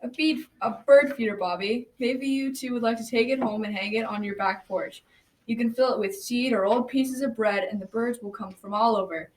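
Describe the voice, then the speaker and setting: A woman's voice: a little high, moderate pace, close to an everyday voice. A female amateur performer playing a kindly woodworking grandfather in a school radio drama. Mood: kindly, instructive. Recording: distant mic, noisy school recording.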